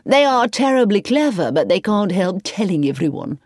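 A woman voicing a blunt, brisk, opinionated society matron in a storybook reading. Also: medium pitch, sing-song, a little mellow, no echo, clean studio sound, pitched above the performer's own voice.

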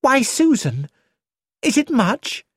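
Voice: high-pitched, big pitch swings, takes it slowly, slightly rough, no echo, pitched above the performer's own voice, full character voice. A narrator voicing a flighty, childish young actress who exclaims eagerly about money, read in a close, dry studio recording.

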